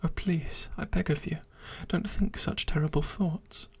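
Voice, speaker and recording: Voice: mid-range voice, steady tone, unhurried, breathy edge. A reader in an airy whisper voicing a lovesick scaly monster that pleads and repeats itself. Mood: begging. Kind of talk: whispered story reading.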